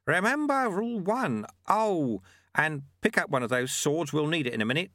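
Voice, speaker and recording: Elderly monk master for a storybook, done by a male narrator: raised pitch, lilting, cheeky, wry, unflappable. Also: big pitch swings, moderate pace, pushed and bright, dry recording, studio quality. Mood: mock indignant. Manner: wry, folksy, mock-instructive.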